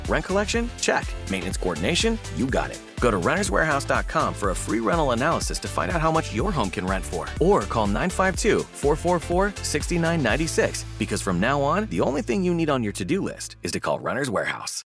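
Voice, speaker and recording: Man, conversational ad read, quick, extremely varied intonation, soft music bed. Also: medium pitch, huge pitch swings. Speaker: advertising voice. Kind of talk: conversational scripted commercial.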